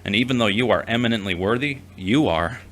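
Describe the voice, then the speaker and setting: Man playing a gentle young man, low bright voice, earnest, reassuring, fragile, audio drama. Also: fairly quick, a little hiss or hum, natural voice.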